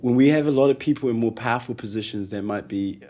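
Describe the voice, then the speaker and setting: A man with a New Zealand accent, a guest in an interview, telling stories in a lively way and acting out what people said. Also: mid-range voice, fast talker. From Ethiopia. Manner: animated storyteller, acts out quotes, laughs often. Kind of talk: conversational interview.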